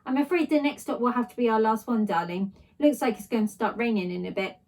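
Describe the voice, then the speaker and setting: A woman's voice: fairly high pitch, fast, a little mellow, lightly acted. A woman voicing a kind, hopeful mother who reassures her young child in a children's story. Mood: regretful.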